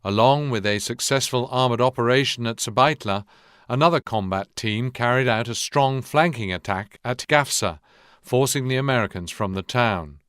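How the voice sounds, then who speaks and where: Guy as war game narrator, clean smooth voice, serious history documentary style, measured, no room sound. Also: mid-range voice, a bit bright, dry recording, studio quality, natural voice. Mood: sober, grave.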